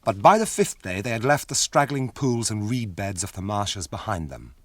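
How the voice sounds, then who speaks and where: An actor in a radio drama narrating a fantasy journey as a calm, stately storyteller. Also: male voice, on the low side, moderate pace, no room sound.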